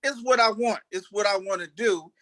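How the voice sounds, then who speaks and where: A man chatting casually as an actor being interviewed, halting and often restarting his phrases. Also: sits high, a bit bright. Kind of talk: casual chat.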